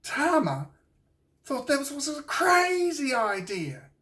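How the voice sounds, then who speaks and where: A man with a New Zealand accent reading a children's storybook aloud in a deliberate, expressive storytelling way. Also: very high-pitched, distant mic. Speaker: children's author reading his own book. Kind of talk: scripted storybook narration for children.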